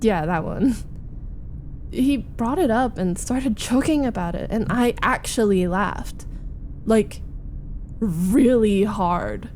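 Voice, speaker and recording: A woman voicing a flustered, needy young roommate in a chatty, halting, defensive roleplay audio, confiding as she vents. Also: medium pitch, darkish tone, slight background noise, natural voice. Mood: fond, wistful. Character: flustered, needy young female roommate venting about a date. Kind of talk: roleplay ASMR audio acting.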